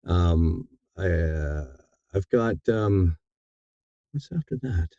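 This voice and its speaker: Deep, mellow man chatting in interview. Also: expressive, slow, dry recording, dull audio. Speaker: audiobook narrator being interviewed. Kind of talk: casual interview chat.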